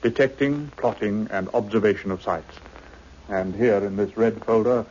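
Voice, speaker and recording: Man as low-voiced, authoritative British government official. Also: moderate pace, darkish tone, a little hiss or hum, close to an everyday voice. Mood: brisk. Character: brisk, authoritative male British government official, cautious and self-assured. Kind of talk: old radio drama acting. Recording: muffled old-time radio recording.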